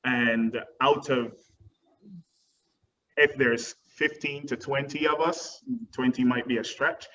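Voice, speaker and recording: A man's voice: medium pitch, fairly bright tone. A young man, a guest dance teacher, answering webinar questions earnestly with frequent ums. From Barbados. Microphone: video call. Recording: dull distant video-call audio with faint noise.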